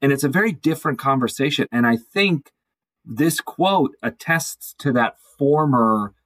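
A man speaking as a podcast guest, thoughtful and measured, building his points in speechlike lists. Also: mid-range voice, expressive, fairly bright tone, dry recording. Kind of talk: thoughtful conversational discussion.

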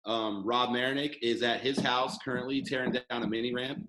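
A man hosting a relaxed podcast, rambling along with plenty of fillers and false starts. His voice sits in an ordinary middle range. The room around him rings with noticeable echo. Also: mid-range voice, brisk. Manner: loose, rambling, with many fillers and restarts. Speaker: podcast host. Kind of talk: casual podcast chat. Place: studio headquarters room.

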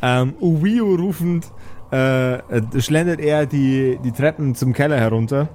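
A man narrates an improvised fantasy game as game master. His voice sits slightly high for a man and he pieces sentences together haltingly. Some noise is audible behind him. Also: darkish tone. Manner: hesitant, halting.

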